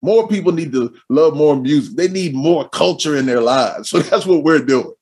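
Husky-voiced hype man hosting a show. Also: a little high, moderate pace. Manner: repeats his phrases for emphasis, energetic. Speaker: podcast host. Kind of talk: hyped-up show introduction and casual interview.

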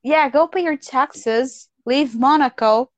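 A woman's voice: high voice, slow, no room sound. A woman ranting bluntly and profanely in a casual chat as a podcast co-host.

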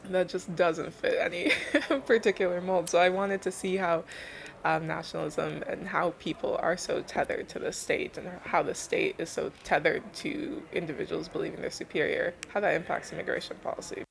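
A woman's voice: on the low side, steady tone, moderate pace, gravelly, slight background noise. A young woman from Canada, explaining her research in an informal, thoughtful interview style. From Canada, born in Belgium to Nigerian parents. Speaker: interviewed thesis student.

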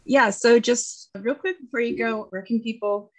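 A woman's voice: a little high, normal speed. A woman co-hosting a podcast in a casual, chatty style. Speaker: podcast co-host and producer. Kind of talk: casual podcast chat and sign-off.

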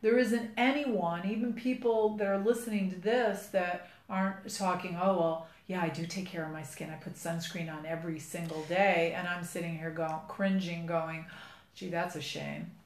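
A middle-aged woman hosting a podcast about her skin care company, speaking in an earnest, persuasive, rambling way with a low voice. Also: fairly even intonation, normal speed. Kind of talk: casual podcast chat. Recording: echoey room.

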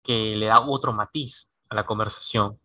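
A man in a casual conversation, musing aloud in a lively way and laughing now and then. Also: fairly low pitch, normal speed, no echo.

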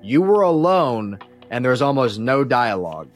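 A man chats as a podcast guest, with music in the recording. His voice sits at a medium pitch. He is opinionated and enthusiastic, wandering through his explanations in a loose, conversational style. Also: big pitch swings, moderate pace. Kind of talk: casual podcast discussion.

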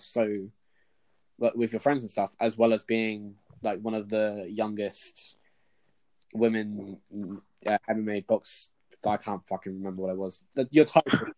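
A man's voice: lowish voice, a little mellow, rough recording. A young man interviewing casually, rambling, repeating himself and swearing. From England. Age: young adult. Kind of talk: casual chat.

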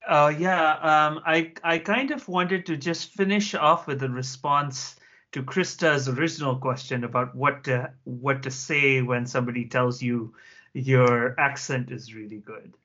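A man's voice: medium pitch, fairly even intonation, unhurried, bright, forceful tone. Wry man telling a story over a video call. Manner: wry, self-mocking storyteller. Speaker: panelist. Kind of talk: casual anecdote on a video call. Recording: muffled video-call audio.

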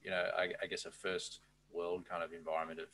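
A man hosting a podcast interview, asking questions in a relaxed, hesitant way with many fillers. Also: low-pitched, fast talker, heavy background noise. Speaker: podcast host.

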